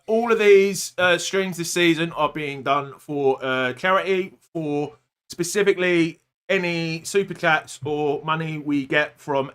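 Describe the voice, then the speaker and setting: A man's voice: on the high side, fairly bright tone, clean tone. A man hosting a casual football fantasy podcast, chatty and full of little fillers. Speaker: podcast host.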